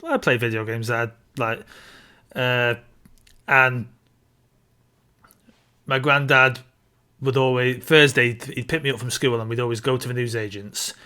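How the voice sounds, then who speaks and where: A man, co-hosting a casual podcast and recalling things in a halting, circling way. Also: mid-range voice, fairly even intonation, pushed and bright, clean tone. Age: early 30s. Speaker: podcast co-host reviewing films. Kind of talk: casual chat, reminiscing.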